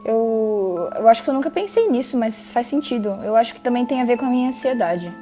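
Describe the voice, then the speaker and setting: An actress playing a worn-out, anxious young woman in therapy, answering in hesitant, unsure bits. Her voice sits a little high but sounds mellow and soft, with faint music behind. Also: expressive, brisk, close to an everyday voice. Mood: weary. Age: teens. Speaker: patient. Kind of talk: audio drama acting.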